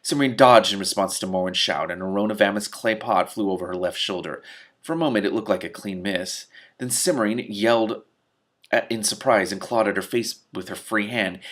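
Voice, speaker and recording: A man reading a novel aloud as host, expressive and reactive, stumbling over words now and then and breaking in with sweary asides. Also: on the low side. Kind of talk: expressive audiobook-style reading with excited asides.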